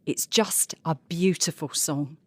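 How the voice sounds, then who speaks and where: An actress as a stubborn French singer in a wartime audio drama. She speaks very slowly in a lowish voice, guarded and terse, with defiance underneath. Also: a little mellow, slightly rough, no room sound, exaggerated voice. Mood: defiant.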